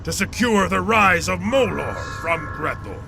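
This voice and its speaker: An actor playing a legendary alien warrior emperor in a video game, proud and vengeful, speaking in a solemn, grandly declamatory way. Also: male voice, sits high, very animated, measured pace, gravelly, pushed and bright, exaggerated voice. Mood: grim, vengeful. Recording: music behind the voice.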